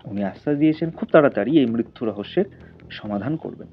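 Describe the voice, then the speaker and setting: A man's voice: mid-range voice, animated, fast, highs cut off, faint music behind. A man narrating a scripted audio story in a dramatic storytelling style.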